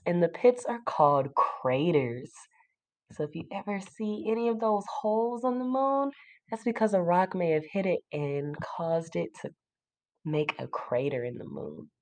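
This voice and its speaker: A woman teacher reading a children's book aloud to a class, pausing to explain simply and put questions to young listeners. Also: on the low side.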